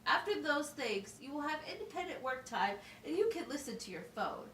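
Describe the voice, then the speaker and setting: A woman's voice: high-pitched, big pitch swings, moderate pace, a bit bright. A woman playing a patient, instructive schoolteacher in an amateur puppet show, explaining things simply and asking checking questions. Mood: firm, instructive. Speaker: teacher. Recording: roomy, distant mic with faint noise.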